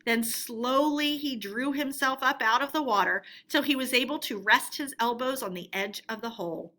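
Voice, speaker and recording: A woman storyteller reading a storybook aloud in an expressive narrating style. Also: high voice, moderate pace.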